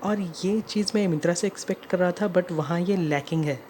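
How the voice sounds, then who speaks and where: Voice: mid-range voice, moderate pace, darkish tone. An Indian vlogger explaining a personal customer complaint in narration that mixes in English phrases. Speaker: customer narrating own complaint as video creator. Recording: clear background noise.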